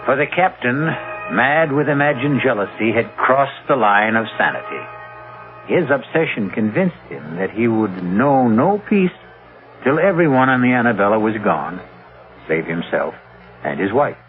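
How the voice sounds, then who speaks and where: A man's voice: mid-range voice, very animated, moderate pace. A man hosting and narrating a 1970s radio drama in a dramatic scripted style. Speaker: host and narrator. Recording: narrow-band old radio broadcast recording. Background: music.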